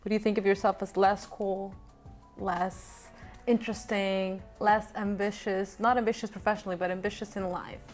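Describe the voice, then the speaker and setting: A woman's voice: mid-range voice, level tone, moderate pace, soft background music. A woman journalist asking direct, probing questions in an interview.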